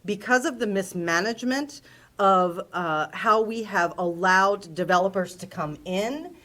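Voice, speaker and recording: An earnest woman answers a forum question in a measured way. Her voice sits mid-pitch, and she slows down to stress key points. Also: pushed and bright. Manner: earnest, measured, with frequent ums. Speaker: town board candidate.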